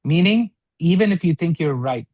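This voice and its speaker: A man's voice: on the high side, expressive, normal speed, darkish tone, no echo, clean studio sound. A man teaching a one-on-one religious read-along lesson, repeating and rephrasing points and checking with short questions.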